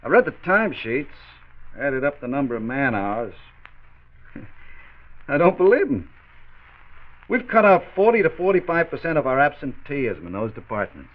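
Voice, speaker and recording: A man's voice: medium pitch, very animated, normal speed, pauses often, close to an everyday voice. An actor playing a loyal, upbeat, plain-spoken factory foreman in a 1940s radio drama. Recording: muffled, band-limited 1940s radio recording.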